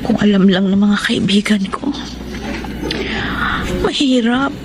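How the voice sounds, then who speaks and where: A woman's voice: medium pitch, slow, background noise, close to an everyday voice. An actress playing a lovesick young woman student in an emotional Tagalog radio drama. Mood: longing, sad. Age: young adult. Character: lovesick young woman student missing her faraway boyfriend. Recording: loud music under the voice.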